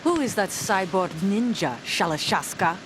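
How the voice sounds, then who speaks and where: Game role, actress as young female soldier: suspicious, bitter, swinging intonation, clear noisy ambience. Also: animated, moderate pace, close to an everyday voice. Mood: accusatory, bitter. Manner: accusatory, confrontational. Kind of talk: video game cutscene acting. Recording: noisy rumbling ambience behind.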